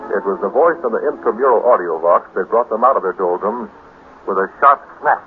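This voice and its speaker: A man's voice: fairly low pitch, lively intonation, brisk. A man narrating a 1950s radio drama as its announcer, with a dramatic delivery. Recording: narrow old radio recording with music.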